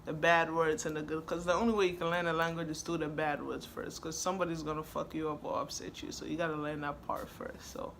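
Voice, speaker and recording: Woman vlogger, relaxed and talkative, laughs a lot, crisp bright tone with faint hiss. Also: low voice, fast talker, hardly any pauses. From Ghana. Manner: laid-back, chatty, laughs easily. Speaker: vlogger answering questions. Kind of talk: casual chat.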